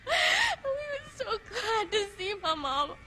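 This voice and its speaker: A very high, bright little voice, a frightened country girl who has lost her family, sobbing and rambling on in plain rural talk. A light hiss of ambience sits under it. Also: very animated, slow, full character voice. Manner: tearful, rambling, rural folksy speech. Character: frightened young girl, orphaned by the undead, rambling. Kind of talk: video game cutscene acting.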